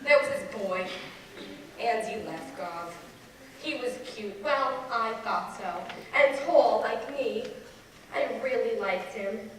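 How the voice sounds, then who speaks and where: A woman's voice: on the high side, expressive, slow, fairly bright tone. A woman playing a reticent, embarrassed old woman in her seventies in a conversational stage monologue, flustered and evasive. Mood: wistful. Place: stage in a large echoing hall. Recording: echoey hall, distant mic, noisy.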